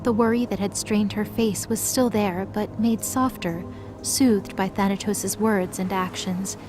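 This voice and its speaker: A woman's voice: medium pitch, fairly even intonation, unhurried, over music. A woman reading scripted narration for a mythological audio drama. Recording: clearly noisy background.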